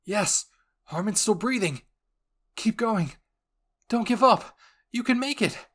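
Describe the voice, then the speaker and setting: A man's voice: high voice, expressive, takes it slowly, fairly bright tone, pitched above the performer's own voice, exaggerated voice. A man voicing a desperate, tearful teenage boy soldier in an anime roleplay, pleading with urgent force. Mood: frantic pleading.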